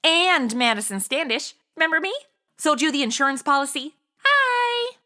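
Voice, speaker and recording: A woman's voice: high-pitched, sing-song, normal speed, pushed and bright, exaggerated voice. An American woman playing a chatty, flippant modern rookie investigator in a comedy audio drama, breezy and casual.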